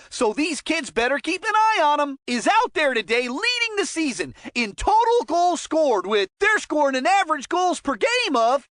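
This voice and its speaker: An actor voicing an excitable kid sports commentator in a cartoon hockey game, calling players with folksy enthusiasm. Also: very high-pitched, no room sound, studio quality, exaggerated voice. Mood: announcing.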